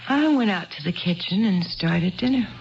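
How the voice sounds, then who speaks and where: A woman's voice: on the low side, expressive, moderate pace, gravelly. An actress playing an earnest, neglected but stubborn wife in a 1970s radio drama, plaintive and given to repeating her phrases. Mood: reflective. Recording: dull lo-fi 1970s radio broadcast.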